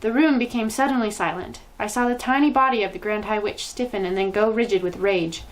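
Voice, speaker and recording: A woman reading a children's book aloud as expressive storytime narration. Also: mid-range voice, a little fast, low-quality recording. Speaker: storytime reader.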